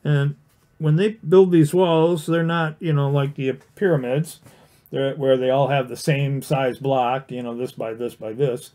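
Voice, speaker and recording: A man with a mellow, warm voice talks through a watercolor demonstration in a casual livestream. He rambles freely, full of fillers and restarts, and explains each brushstroke plainly as he paints. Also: mid-range voice, steady tone, unhurried. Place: indoor studio with overhead camera on the painting desk.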